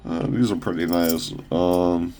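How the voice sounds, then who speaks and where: A man casually showing off his own shoe designs on a phone camera, rambling with fillers and restarts, his voice a little raspy from talking a long time. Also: deep voice, steady tone, warm tone, slight background noise. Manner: halting, loose, full of fillers and restarts. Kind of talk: casual rambling product showcase.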